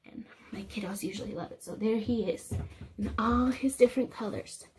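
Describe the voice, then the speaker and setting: A young woman reading a picture book aloud to small children, expressive and sing-song, explaining things simply as a teacher would. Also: medium pitch, normal speed, a little hiss or hum. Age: young adult. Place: small indoor room.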